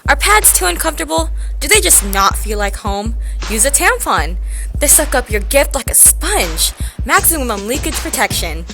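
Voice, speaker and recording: A woman's voice: sits high, big pitch swings, moderate pace, vocal fry, fairly bright tone, soft background music. A young woman reading a playful mock radio ad for a school project. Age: teens. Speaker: student news reporter.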